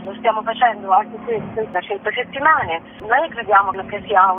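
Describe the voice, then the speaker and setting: A woman's voice: mid-range voice, moderate pace, slight background noise. A woman speaking casually as a guest in a radio interview over the phone. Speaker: theatre artist, interview guest. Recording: tinny, band-limited phone line.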